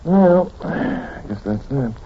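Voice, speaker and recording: A man's voice: mid-range voice, expressive, slow. A man playing a wry, teasing, mildly bossy doctor, the leading man of a 1940s radio drama, speaking in a dry, musing way. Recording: muffled old radio recording, faint hiss.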